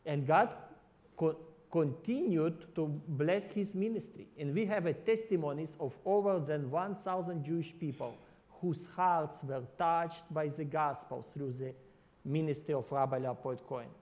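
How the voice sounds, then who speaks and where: A man preaching in careful but halting English, his showing in his phrasing. He often restarts words and reaches for the right expression. His voice sits a touch higher than most men's. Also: darkish tone. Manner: halting non-native English with many restarts. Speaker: ministry worker. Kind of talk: sermon.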